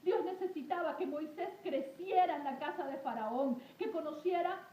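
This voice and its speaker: A woman's voice: very high-pitched, moderate pace, dark tone. A woman leading a fervent prayer and exhortation, speaking emphatically and repetitively with frequent invocations. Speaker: prayer leader preaching to an online audience. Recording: roomy echo, faint background noise.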